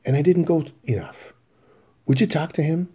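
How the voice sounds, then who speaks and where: A man's voice: on the high side, moderate pace, dark tone, breathy edge, dull audio, voice pushed up. A man voicing an earnest teenage athlete pleading with his father in a play reading. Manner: eager, pleading.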